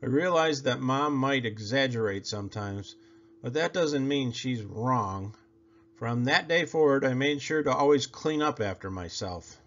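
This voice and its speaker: A man reading a children's story aloud in a theatrical, expressive way, stretching out words for effect. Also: mid-range voice, unhurried. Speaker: storybook reader. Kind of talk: animated children's read-aloud. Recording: slightly dull, lo-fi audio.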